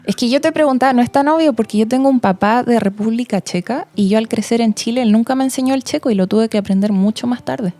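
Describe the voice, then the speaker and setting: A woman's voice: on the high side, fast talker, few pauses, mellow. A woman co-hosting a podcast, asking curious follow-up questions in a friendly, casual way. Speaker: podcast co-host, interviewer. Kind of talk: casual interview talk.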